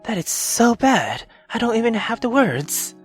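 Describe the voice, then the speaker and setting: A woman's voice: fairly high pitch, big pitch swings, a little slow, soft background music. A woman voicing a sensible, caring young woman in a visual novel, hesitant and mildly reproving. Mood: mild, wry.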